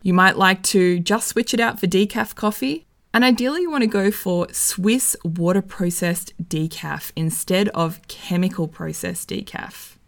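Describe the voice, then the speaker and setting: A woman with a slightly croaky voice giving casual, enthusiastic wellness advice. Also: mid-range voice, a little slow, few pauses. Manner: friendly, enthusiastic, conversational. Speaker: wellness vlogger.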